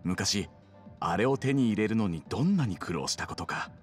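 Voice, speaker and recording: Slick, polite street guide male actor, game drama: deep register, highly animated intonation, salesman's patter. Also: moderate pace, soft background music, close to an everyday voice. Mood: wary, reluctant. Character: smooth-talking, courteous male nightlife guide and wheeler-dealer. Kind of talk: Japanese video game cutscene acting.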